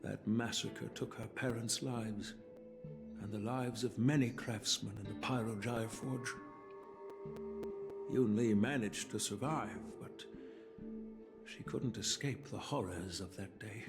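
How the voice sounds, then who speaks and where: A man playing a grave, regretful old master swordsmith and grandfather in a game, speaking in a measured, formal way. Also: on the low side. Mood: solemn. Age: older. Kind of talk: scripted game dialogue, solemn storytelling. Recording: background music under the voice.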